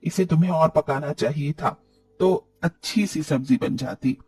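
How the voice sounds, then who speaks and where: A demanding older auntie from a cartoon moral tale, voiced by a man. The voice is fairly high for a man and husky, chattering on and finding fault. It is recorded slightly off the mic. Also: unhurried, dark tone, distant mic. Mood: fussy, demanding. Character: demanding older visiting aunt, a fussy, entitled woman.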